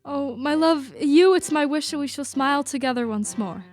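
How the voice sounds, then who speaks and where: A young woman playing a gentle, devoted young bride in a radio play, speaking sweetly and formally. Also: high-pitched, normal speed, natural voice. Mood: wistful. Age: young adult. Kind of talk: student radio play acting. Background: music underscoring.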